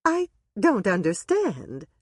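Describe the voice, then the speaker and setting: A woman voicing an excitable, romantic bride who speaks high and gushing. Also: slow, husky, no room sound, clean studio sound, exaggerated voice. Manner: bright, gushing.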